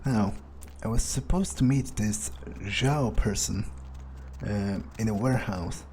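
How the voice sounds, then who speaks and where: A man speaking in character during a video game playthrough, in a halting, musing way. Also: on the low side, darkish tone, slight background noise. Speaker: player voicing his own character in a let's play.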